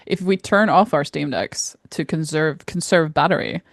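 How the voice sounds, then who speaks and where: A woman's voice: low voice, normal speed, creaky, no echo, clean studio sound. A woman chatting casually as a podcast co-host, wry and self-deprecating. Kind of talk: casual chat.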